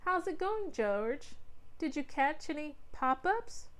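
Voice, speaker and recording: A woman's voice: high-pitched, big pitch swings, takes it slowly, pitched above the performer's own voice, exaggerated voice. A woman reading the lines of a friendly, cheerful mother greeting children.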